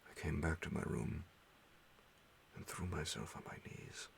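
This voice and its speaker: Very deep, gravelly hushed male narrator. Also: slight background noise. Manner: hushed, half-whispered, dramatic pauses. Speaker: audiobook narrator. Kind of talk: ASMR bedtime horror story reading.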